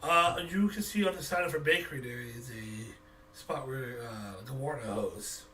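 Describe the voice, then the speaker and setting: A man with a bright, forward voice runs a tabletop game. He narrates haltingly, working it out as he speaks. He sits back from the mic with faint noise behind him. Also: medium pitch, recorded from a distance, poor audio. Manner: halting, thinking aloud. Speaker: game master.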